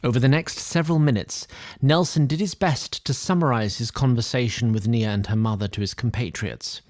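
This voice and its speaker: A man's voice: mid-range voice, brisk, darkish tone, dry recording, studio quality. A man narrating a fantasy audio drama in a wry storybook manner. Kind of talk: scripted narration.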